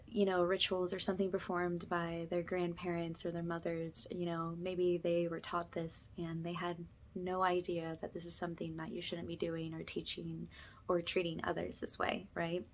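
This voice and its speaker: A woman speaking softly and gently as she leads an informal spiritual ASMR ritual, often slipping toward a whisper. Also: lowish voice, monotone, measured pace. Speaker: host, spiritual energy healer leading a session.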